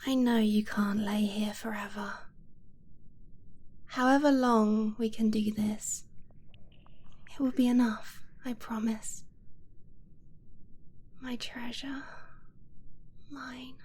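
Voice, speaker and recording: A woman playing a tender, devoted dragon-blooded girlfriend in an intimate ASMR romance roleplay, soft and breathy, hesitant and affectionate, often slipping into a whisper. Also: on the high side, fairly even intonation, slight background noise. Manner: slow, hesitant, pleading and affectionate.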